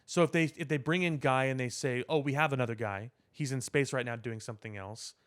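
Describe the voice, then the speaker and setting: A man's voice: medium pitch, brisk, a bit bright, smooth. Guy on a podcast, casual and opinionated, explaining superhero stuff at length. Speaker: podcast co-host. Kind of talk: casual chat about superhero films.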